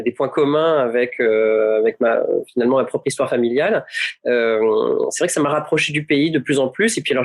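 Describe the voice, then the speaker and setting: Halting man from France. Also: mid-range voice. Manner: hesitant, frequent fillers and restarts. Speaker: author and illustrator. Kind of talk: panel conversation.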